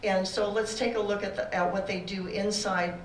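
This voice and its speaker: A woman presenting a beginner beekeeping class to a room, loud-voiced and talking in a halting way with fillers and restarts. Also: mid-range voice, fairly even intonation, a little hiss or hum. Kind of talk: classroom lecture with slides. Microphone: far room recording, no mic for a while. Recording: echoey room from a distance.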